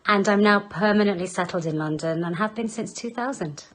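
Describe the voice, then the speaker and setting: A woman from Serbia, an actress, introducing herself in a lively way. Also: medium pitch, fairly quick, a little hiss or hum, poor audio. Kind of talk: self-introduction.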